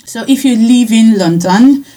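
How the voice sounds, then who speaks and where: A woman's voice: on the high side, a little slow, warm tone, rough recording. A woman hosting an interview, friendly and encouraging, who laughs often. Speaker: radio host. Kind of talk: interview on air.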